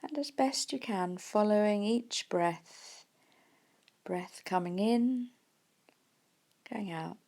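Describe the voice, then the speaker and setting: Woman guiding meditation, slightly creaky voice. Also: mid-range voice. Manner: gentle, unhurried, with soft pauses. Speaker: meditation teacher. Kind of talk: guided mindfulness meditation.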